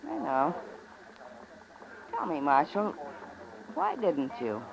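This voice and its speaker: An actress playing a wry, needling gambler's companion in a 1950s radio western, with a teasing, sardonic manner. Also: female voice, deep voice, wildly swooping pitch, vocal fry, heavily acted. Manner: teasing, sardonic, drawn-out delivery. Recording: dull old radio recording with crowd noise.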